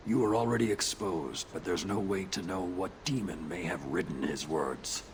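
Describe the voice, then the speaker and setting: An actor playing a stern, cold warrior commander in a video game, speaking in a formal, measured, commanding way. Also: male voice, low voice, husky, exaggerated voice. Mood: cold, solemn. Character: stern horned warrior commander, cold, rigid, solemnly menacing.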